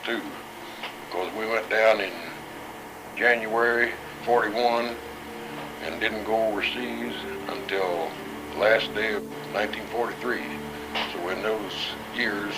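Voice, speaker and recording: A man's voice: fairly low pitch, steady tone, measured pace, over loud music, rough recording. An elderly man, a war veteran, reminiscing in a plain, matter-of-fact way for an oral history. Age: 80s or older.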